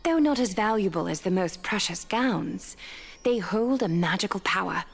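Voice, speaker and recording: An actress playing an elegant, composed, wealthy seamstress in a video game, speaking in a measured, knowing way. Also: female voice, mid-range voice, animated, gravelly, a little hiss or hum. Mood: gracious, knowing. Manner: poised, measured, gently knowing.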